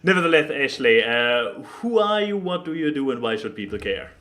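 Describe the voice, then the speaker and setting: A man hosting a casual podcast chat, speaking haltingly and often stammering and repeating words. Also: medium pitch, big pitch swings, a bit bright. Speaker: podcast host.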